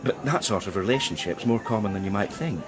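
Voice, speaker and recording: An actor playing a discreet male art dealer in a radio crime drama, speaking in a deliberate, hesitant, euphemistic way. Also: on the low side, lively intonation, loud music behind the voice, lightly acted. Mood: guarded, hesitant. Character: male art dealer George, heartbroken, guarded, discreet old friend.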